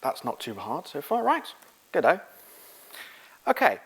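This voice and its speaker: A middle-aged man speaking as a conference presenter, giving an informal, halting technical lecture. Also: on the high side, huge pitch swings, fairly bright tone, husky. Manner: informal, halting, quizzing the audience. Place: conference room, on stage.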